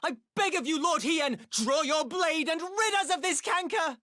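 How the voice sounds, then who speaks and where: A woman playing an aggrieved villager in a video game, pleading forcefully and with passion. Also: very high-pitched, normal speed, no echo, studio quality. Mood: indignant, pleading. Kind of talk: dramatic game acting.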